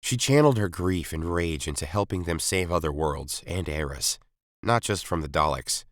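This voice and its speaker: Low-voiced man narrating, close and dry. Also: moderate pace, dry recording. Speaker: story reader. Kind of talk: scripted narration of science fiction story.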